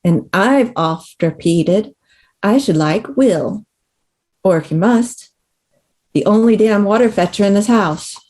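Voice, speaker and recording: A woman's voice: medium pitch, expressive, takes it slowly, dark tone. A woman playing a scrappy, defiant rustic tomboy daughter at a screenplay table read, with blunt, folksy rural speech.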